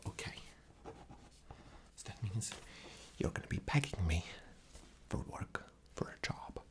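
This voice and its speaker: A man playing an arrogant, rude boss in a whispered ASMR interview roleplay, breathy, condescending and dismissive. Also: fairly low pitch, heavily acted. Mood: mocking, dismissive.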